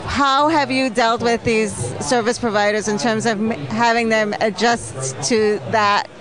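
A woman's voice: fairly high pitch, steady tone, measured pace, over music, poor audio. Woman interviewer at busy expo. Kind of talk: trade show interview. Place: busy expo hall floor. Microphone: handheld mic. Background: crowd chatter.

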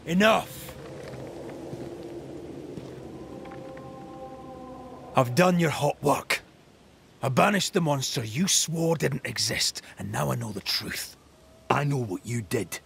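Gravelly, stern ghost hunter. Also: male voice, medium pitch, expressive, a bit bright, a little hiss or hum, full character voice. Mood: angry, accusing. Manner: measured, stern. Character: resolute, grave ghost hunter passing judgement. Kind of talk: dramatic video game acting.

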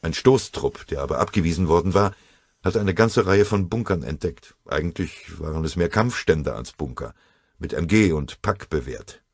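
A man with a husky voice reading a war story as scripted audiobook narration. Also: low voice, expressive, normal speed, no echo.

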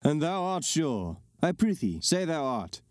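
An actor playing a formal, wary imperial commander, speaking measured archaic verse in an audio drama. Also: male voice, fairly high pitch, very animated, darkish tone, no room sound, clean studio sound. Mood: wary, stern.

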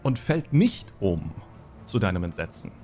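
A man acting as game master, narrating a tabletop fantasy horror game in a deliberate, descriptive way. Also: low-pitched, very animated, warm tone. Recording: music under the voice. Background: background music.

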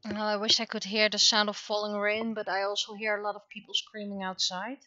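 A woman's voice: medium pitch, level tone, a little fast, sharp tone, no room sound, muffled recording. A woman chatting casually on a livestream while she draws, musing half to herself and trailing off. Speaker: livestreaming webcomic artist.

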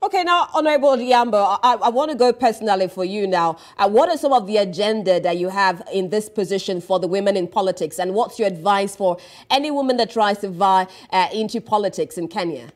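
A woman's voice: mid-range voice, a little fast. Formal woman news anchor asking questions. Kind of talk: studio news interview. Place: television studio.

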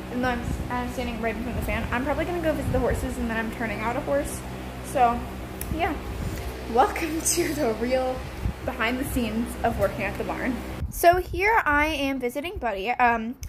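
A woman's voice: on the high side, noisy background. A teenage girl chatting casually with lots of fillers as she vlogs her barn chores, recorded on a phone camera. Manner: chatty, rambling with many fillers. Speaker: vlogger. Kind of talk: casual vlog narration. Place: horse barn.

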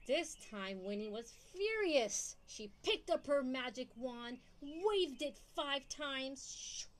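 A young woman reading a picture book aloud to young children in an expressive, questioning storytime manner. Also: high voice, takes it slowly. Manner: expressive, asks listeners questions. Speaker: storytime reader.

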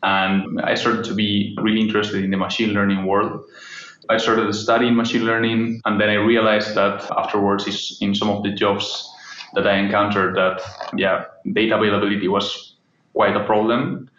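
A man's voice: on the low side, flat delivery, moderate pace, a bit bright, slight background noise. A man from Spain, a guest talking casually about his work and thinking aloud as he answers. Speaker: analytics engineer guest. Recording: roomy, dull remote audio.

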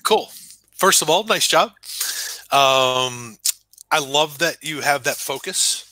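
Bright-voiced upbeat male panel host. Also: a little high, animated, takes it slowly, no echo, low-quality recording. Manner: upbeat, loose, self-correcting. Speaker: host, program director. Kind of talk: hosting an online panel. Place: home office. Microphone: headset on video call.

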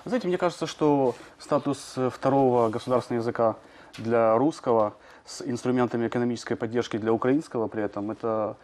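A man, a journalist, answering in a studio interview, talking quickly with many hesitations and fillers. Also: medium pitch, a little mellow. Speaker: journalist guest.

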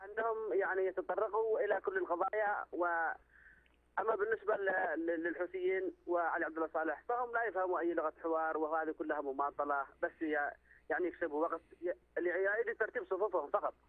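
Male caller, fast talker, insistent and repetitive, dry tinny phone line. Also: sits high, dry recording. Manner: insistent, repeats phrases, many restarts. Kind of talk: political opinion on a call-in show.

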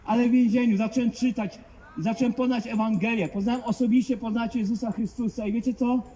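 A man's voice: extremely high pitch, fairly even intonation, fast, mellow, slight background noise. A man preaching outdoors to passers-by, fervent and emphatic, driving points home with rhetorical questions. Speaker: street preacher. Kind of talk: open-air evangelistic preaching. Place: outdoors in a public street.

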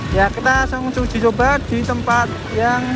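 A woman's voice: a little high, fairly even intonation, normal speed. A woman giving casual, chatty vlog commentary while riding a motorbike through city streets, talking straight to her viewers. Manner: chatty, keeps addressing viewers as friends. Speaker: motovlogger. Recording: loud background music over outdoor riding.

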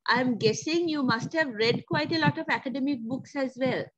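A woman's voice: sits high, normal speed. A young woman interviewing a guest over a video call, asking polite questions and addressing him as sir. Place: indoor room with bookshelves. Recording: dull video call audio.

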